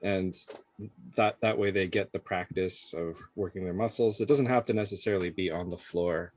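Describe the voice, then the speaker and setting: A man's voice: low-pitched, normal speed, a little mellow, no echo. A man explaining things to parents in a casual, loosely phrased way as a program facilitator. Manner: informal, hedging with frequent "like". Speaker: early childhood program facilitator.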